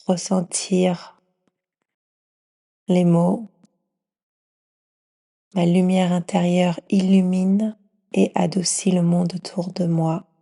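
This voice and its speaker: Husky, slow woman guiding meditation. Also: fairly low pitch, flat delivery. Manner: soft, soothing, often trailing into breathy whisper. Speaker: yoga nidra teacher guiding a session. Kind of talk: guided meditation.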